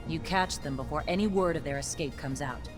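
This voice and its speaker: Low-voiced stern woman army captain game acting. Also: fairly quick, music in the background, close to an everyday voice. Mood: stern, urgent. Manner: clipped, authoritative orders. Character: stern, ruthless military captain woman, commanding and impatient. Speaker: army captain briefing her unit. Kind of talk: video game dramatic acting.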